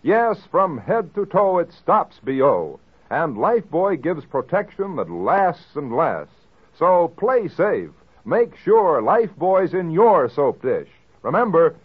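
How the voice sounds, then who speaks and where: A man's voice: a little high, husky. A man delivering a 1940s hard-sell radio commercial in an emphatic, punchy manner. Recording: tinny, narrow-band old radio recording.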